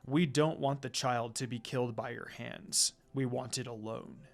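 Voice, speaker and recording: Male villain from a man reading aloud: cold, scheming, stern and measured, quietly commanding, story reading. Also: mid-range voice, steady tone, creaky, voice pushed down.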